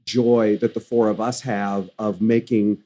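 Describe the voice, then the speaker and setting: Male actor, interview guest, husky mellow voice, thoughtful with restarts. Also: on the low side, little pitch movement, measured pace. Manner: thoughtful, frequent restarts and fillers. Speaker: stage actor. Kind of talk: conversational interview.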